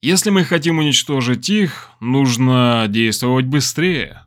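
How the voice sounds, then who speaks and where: A man's voice: medium pitch, unhurried, fairly bright tone, lightly acted. A man voicing a weary, earnest businessman and determined conspirator in an audiobook, with a grave, emphatic manner. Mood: urgent, grim.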